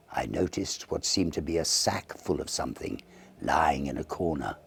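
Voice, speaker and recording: A man reading a Victorian ghost story as an audiobook narrator, theatrical and expressive, with deliberate phrasing. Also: a bass voice, vocal fry, slightly rough.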